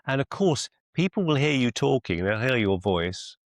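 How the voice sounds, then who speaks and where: A man coaching one-to-one on a video call as a mentor, deliberate and encouraging, often repeating phrases for emphasis. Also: medium pitch, big pitch swings, no room sound, studio quality. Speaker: business mentor and clinician.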